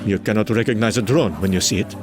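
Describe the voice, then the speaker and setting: Man as guarded Frenchman, terse, dismissive, comic dub, clear music behind. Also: fairly low pitch, quick pace, natural voice. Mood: scornful. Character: guarded, dismissive Frenchman, vigilante's former associate.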